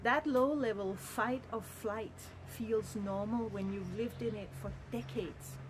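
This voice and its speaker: A woman's voice: mid-range voice, slow pace, noisy background. A middle-aged woman from Denmark, speaking in a candid, earnest and reflective personal monologue. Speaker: vlogger.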